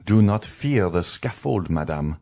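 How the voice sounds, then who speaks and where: Storybook villain-judge voiced by a man: stern husband passing sentence, deliberate, emphatic, widely varied pitch. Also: expressive, gravelly, mellow, full character voice. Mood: cold, commanding. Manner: cold, commanding, emphatic oratory. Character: stern, merciless male magistrate condemning his wife.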